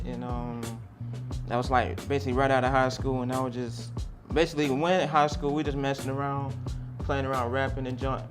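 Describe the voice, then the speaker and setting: Laid-back rapper in his thirties. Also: mid-range voice. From Jacksonville, Florida. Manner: laid-back, laughing easily, loose storytelling. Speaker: rapper, showcase guest. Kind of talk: casual interview chat. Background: music.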